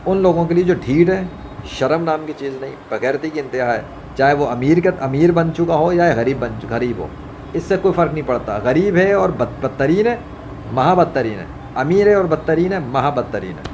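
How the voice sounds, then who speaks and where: A man's voice: on the high side, animated, moderate pace, a little mellow. A man delivering an informal religious monologue in an indignant, scolding way, repeating phrases for emphasis. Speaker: religious vlogger. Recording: clearly noisy, ambient background hum. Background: ambient noise, running fan.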